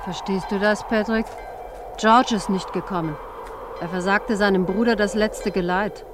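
An actress playing an anxious village woman full of dark foreboding in a German radio crime play, with a brooding, dramatic delivery. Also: medium pitch, steady tone, moderate pace, background noise, lightly acted. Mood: dismayed.

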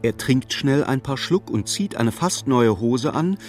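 A man's voice: medium pitch, lively intonation, a little slow, a little mellow. A man narrating a radio play in scripted, literary present-tense prose. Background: music.

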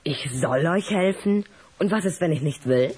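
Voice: medium pitch, lively intonation, moderate pace, darkish tone, slightly rough. An actor playing a cheeky, reluctant young schoolboy who talks in a grudging, questioning way in a children's audio drama.

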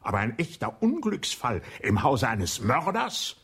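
An actor playing a shrewd police inspector in a radio play, with a husky voice, probing and ironic. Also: male voice, medium pitch, wildly swooping pitch, measured pace, a bit bright, full character voice.

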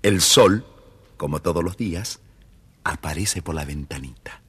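A man's voice: low-pitched, a little slow. A man expressively narrating a scripted children's story.

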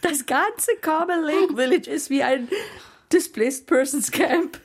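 A woman's voice: high-pitched, slow pace. An actress playing an eager wife who urges her husband on in a radio play. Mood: eager, coaxing.

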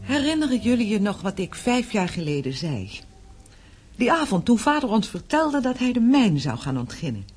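An actress in a radio play playing a thoughtful, foreboding young daughter of a wealthy family, earnest and wistful. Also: female voice, a little high, sing-song, moderate pace, darkish tone, faint music behind. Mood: reflective, foreboding. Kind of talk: Dutch radio play acting.

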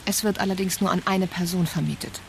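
A woman's voice: on the low side, fairly even intonation, fast talker, creaky, a little hiss or hum. An actress playing a girlfriend hesitantly breaking away from her partner in a radio drama. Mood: hesitant, resolved.

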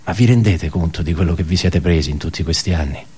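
An anguished, embittered office worker in a radio play. His voice sits fairly low and is noticeably husky. He broods and argues pedantically, stopping, repeating himself and firing off rhetorical questions. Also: fast talker, darkish tone. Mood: accusing, anguished. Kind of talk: radio theatre monologue.

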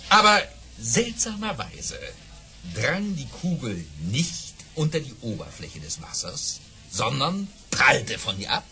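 An actor playing a boastful baron who tells tall tales with theatrical relish in a German audio drama. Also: male voice, mid-range voice, big pitch swings, slow, sharp tone, soft background music, heavily acted. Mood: dramatic relish.

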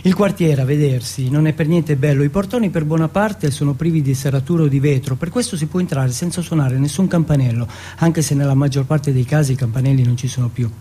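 A man from Turin performing an autobiographical theatrical monologue, telling it in measured short phrases and lists. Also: fairly high pitch, warm tone, husky. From Turin, Italy.

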